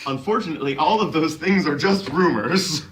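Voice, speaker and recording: A man's voice: a little high, moderate pace, fairly bright tone, distant mic. A man giving a mock-serious dramatic reading of clumsily written text for comedy. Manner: tends to break into giggles.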